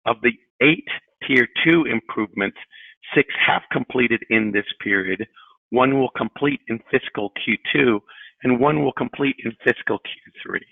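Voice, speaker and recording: A middle-aged male chief executive delivers prepared financial remarks in a formal, measured way. His voice has a gravelly creak and comes over a narrow, tinny phone line, dry with no room sound. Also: medium pitch, no room sound. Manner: formal, measured, deliberate phrasing. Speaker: company president and CEO. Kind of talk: prepared corporate earnings presentation. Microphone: telephone on a conference call.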